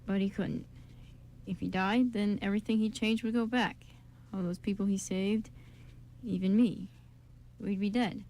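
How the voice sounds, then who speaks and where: A woman's voice: medium pitch, fairly even intonation, normal speed, dark tone, close to an everyday voice. A woman voicing an earnest, loyal, shy young man in an amateur radio drama.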